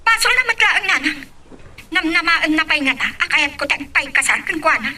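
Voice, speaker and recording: A woman's voice: sits high, animated, a little fast, bright, forceful tone, distant mic, heavily acted. A woman playing a bitter, lovesick young woman in an Ilocano melodrama, with an Ilocano accent. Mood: indignant, hurt. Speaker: voice actor in a drama.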